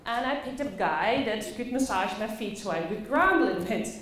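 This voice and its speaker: A woman from the Netherlands with a Dutch accent, telling personal stories as a conference speaker, expressively and with dramatic pauses. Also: fairly high pitch, animated, fairly bright tone. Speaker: conference speaker, play facilitator. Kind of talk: personal storytelling talk to a live audience. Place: echoey indoor conference room. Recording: very echoey room, faint background noise.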